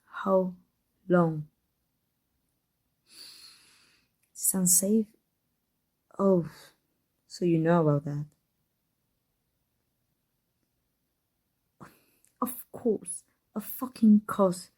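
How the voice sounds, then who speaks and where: A woman playing an embittered villain secretly in love with a rival, in an intense ASMR roleplay monologue, husky and breathy, often dropping to a whisper. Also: lowish voice, slow pace, pauses often, warm tone, low-quality recording. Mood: shaken, wary.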